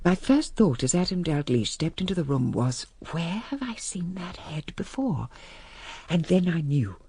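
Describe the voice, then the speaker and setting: An older English gentlewoman of a country house, narrating a radio drama. Her voice is husky with plenty of creak, and it moves expressively up and down. She is measured, reflective and composed. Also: on the low side, expressive, no room sound, full character voice. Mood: reflective, troubled. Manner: measured, reflective, well-mannered. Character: composed, genteel mother of a troubled country-house family. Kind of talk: radio drama acting, intimate first-person narration.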